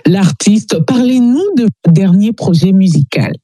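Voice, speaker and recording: A woman's voice: medium pitch, animated, measured pace, mellow, husky, dry recording. A woman hosting a radio interview in a studio, introducing her guest and putting questions.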